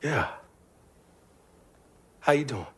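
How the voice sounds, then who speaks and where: An actor playing a guarded, troubled Southern man in a video game drama, gruff and halting, with a Southern American accent. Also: medium pitch, animated, fairly bright tone, full character voice. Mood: guarded, defensive. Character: guarded, troubled grown Southern man, estranged father figure.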